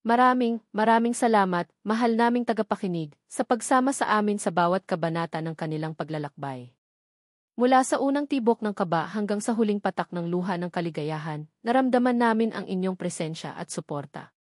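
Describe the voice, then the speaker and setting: Woman, Filipino accent, story narrator, scripted romance narration, mid-range pitch, dry recording. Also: lively intonation, a little fast, dry recording.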